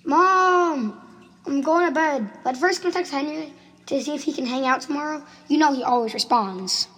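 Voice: high-pitched, moderate pace, a bit bright. A performer playing an earnest, insistent schoolboy in an amateur radio drama. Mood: sulky, worried. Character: Timmy, earnest schoolboy who insists on what he saw. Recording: home recording with clear noise and clicks.

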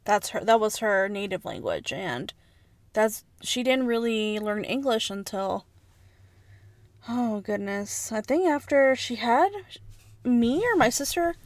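A woman's voice: fairly high pitch, lively intonation, takes it slowly, no echo. A young woman whose first language is Spanish, chatting earnestly as a podcast co-host and telling stories from her own life. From United States. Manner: earnest, reflective, storytelling. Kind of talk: casual chat.

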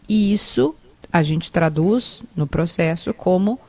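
Low-voiced woman co-host. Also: expressive, slow, darkish tone. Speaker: radio co-host. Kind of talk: journalistic interview, recapping for listeners.

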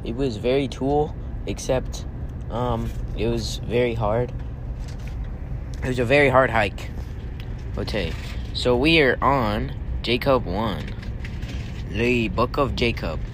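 A man reading scripture aloud in halting phrases, occasionally stumbling over words. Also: mid-range voice. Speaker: family scripture reader. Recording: loud vehicle and road noise. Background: car and vehicle noise.

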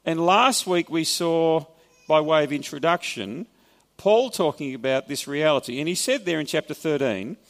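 A man's voice: fairly high pitch, expressive, moderate pace, long reverb tail. A man with an Australian accent preaching a sermon, explaining scripture in a conversational way with wry asides. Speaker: pastor. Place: church hall.

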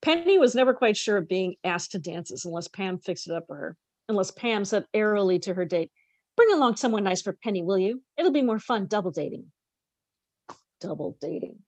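A woman's voice: mid-range voice, big pitch swings, brisk, a little mellow, no room sound. A woman co-hosting a podcast, expressively reading a novel aloud with casual, conversational asides.

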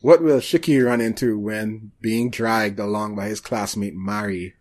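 A man hosting a podcast, chatting casually about shows in a halting, hesitant way. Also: fairly low pitch, fairly even intonation, a little mellow. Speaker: podcast host.

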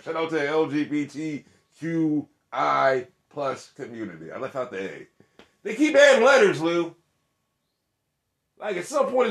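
A man co-hosting a casual chat, brash and joking with crude banter, who calls his voice monotone. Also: fairly high pitch, creaky, reverberant. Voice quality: monotone delivery. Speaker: podcast co-host.

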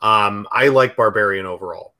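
Guy cohost talking movies, lots of ums and stops, lowish bright voice. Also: normal speed. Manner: hesitant, many ums and restarts. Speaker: podcast and stream co-host. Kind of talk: casual film chat.

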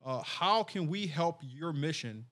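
A man, a sheriff, hosting a podcast interview in an earnest, measured way with frequent restarts. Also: a little high, animated, fairly bright tone.

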